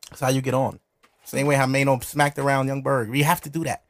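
A man's voice: mid-range voice, flat delivery, fairly quick. A man hosting a podcast in a studio. He chats loosely and profanely while drinking, brash and provocative, and laughs often. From Brooklyn, New York. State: drinking alcohol while recording. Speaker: podcast host. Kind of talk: loose, profane casual chat.

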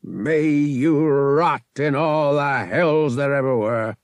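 A man's voice: on the high side, slow pace, dry recording, voice pushed up. A man voicing a cruel, ruthless starship captain in an audiobook, with a harsh, menacing, commanding snarl.

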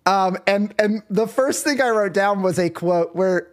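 A man's voice: sits high, measured pace. Playful man riffing on a podcast. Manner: playful, joking riffs. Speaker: podcast co-host. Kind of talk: casual chat.

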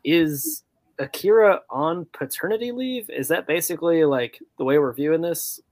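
A man's voice: a little high, moderate pace, darkish tone. American man in casual soccer talk. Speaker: soccer podcast co-host. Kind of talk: casual chat, match analysis.

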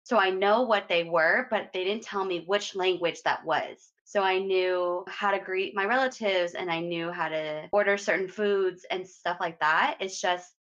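A woman's voice: mid-range voice, fairly even intonation, unhurried, hardly any pauses, a bit bright, highs cut off. A young woman in her twenties chatting casually on a podcast, self-deprecating and laughing. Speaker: podcast co-host. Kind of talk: casual chat.

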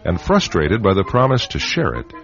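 A man's voice: low voice, huge pitch swings, normal speed, fairly bright tone. A man narrating a scripted radio drama as its host, telling the story directly to listeners. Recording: dull radio recording. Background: music with flute.

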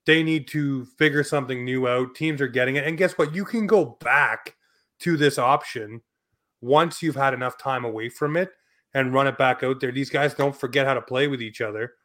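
A man's voice: medium pitch, normal speed, fairly bright tone. A man hosting a sports podcast, giving casual, blunt opinions in short colloquial phrases.

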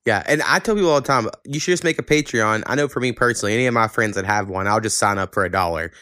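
Low-voiced man chatting casually. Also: rapid-fire, sharp tone, dry recording, clean studio sound. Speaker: podcast host. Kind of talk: casual chat.

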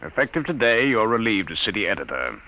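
A man's voice: on the low side, very animated, quick pace, pushed and bright, full character voice, rough recording. An actor playing a domineering, brusque male newspaper boss in an old-time radio drama. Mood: cool, firm. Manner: brusque, authoritative. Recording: vintage radio drama recording.

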